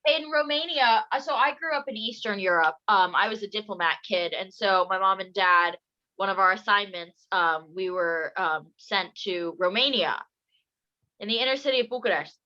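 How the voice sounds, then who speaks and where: A young adult host running a playful, casual home interview with silly sing-song asides. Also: female voice, medium pitch, moderate pace, bright, forceful tone, lo-fi sound. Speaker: podcast host.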